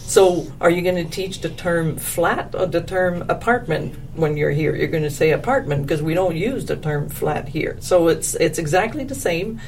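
A woman with a Quebec French accent, a French teacher speaking as a guest in a casual interview, animated and quick to repeat her words. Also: lowish voice, distant mic, slight background noise.

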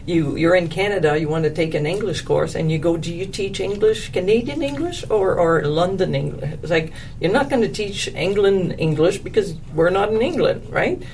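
A woman with a Quebec French accent, a French teacher speaking as a guest in a casual interview, animated and quick to repeat her words. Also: lowish voice, far from the mic, slight background noise.

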